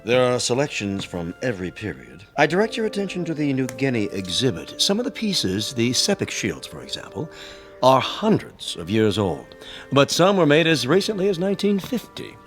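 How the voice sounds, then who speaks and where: Lecturing tour guide, learned, articulate, very lively pitch swings, radio drama. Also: male voice, moderate pace, faint music behind. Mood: informative. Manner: didactic, formal, articulate.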